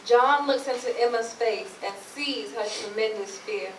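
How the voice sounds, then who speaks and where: A woman's voice: on the high side, a little slow, a little hiss or hum. A woman narrator reading stage directions for a theatre play reading. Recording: echoey, distant mic, music behind.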